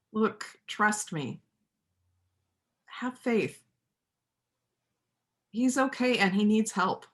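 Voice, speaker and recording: Woman acting an earnest, slow-spoken determined helper. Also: medium pitch, fairly bright tone. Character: earnest, determined woman helping a fugitive, a painter of faith. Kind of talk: reader's theater stage acting.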